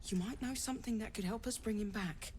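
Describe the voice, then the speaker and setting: A woman's voice: fairly high pitch, brisk, a little mellow, close to an everyday voice. A woman playing an earnest, respectful video game character, urgently pleading, with faint background noise.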